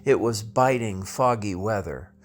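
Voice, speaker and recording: A man reading a classic tale aloud as scripted narration, theatrical and deliberate in his delivery. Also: on the low side. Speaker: storybook reader.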